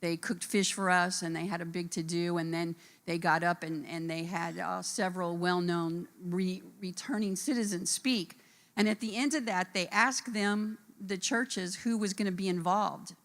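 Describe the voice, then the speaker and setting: Casual: woman panelist at a conference, kinda low pitch, earnest, talks right to the audience. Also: steady tone, normal speed, fairly bright tone. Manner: earnest, addresses audience directly. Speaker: conference panelist, reentry support worker. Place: conference room.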